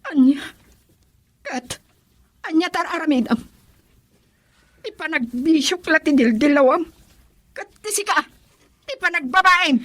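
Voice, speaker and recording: A woman's voice: high voice, a little slow, pauses often, fairly bright tone, dry recording. An actress playing a defiant, emotional middle-aged wife and mother in a radio drama, speaking emphatically with a Filipino accent. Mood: defiant, annoyed.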